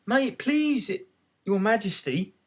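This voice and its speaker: A man voicing a humble, nervous gardener in a storybook, halting and deferential as he explains himself. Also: high voice, animated, voice pushed up, exaggerated voice.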